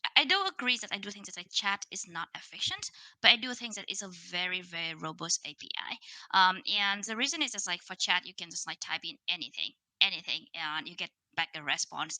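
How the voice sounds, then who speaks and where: Bright Vietnamese-accented woman giving a talk. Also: mid-range voice, no room sound, low-quality recording. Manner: casual, many fillers and restarts. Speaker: conference speaker. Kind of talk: technical conference talk.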